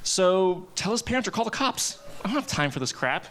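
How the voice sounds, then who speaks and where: A man's voice: high voice, sing-song, fairly quick, a bit bright, a little hiss or hum. A man reading the part of a dismissive, bossy teenage older brother at a live table read. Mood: smug.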